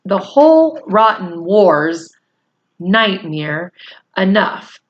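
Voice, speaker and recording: A woman's voice: medium pitch, big pitch swings, extremely slow, dull audio. A woman voicing a weary but chipper young British soldier in a storybook, full of bemused wartime slang.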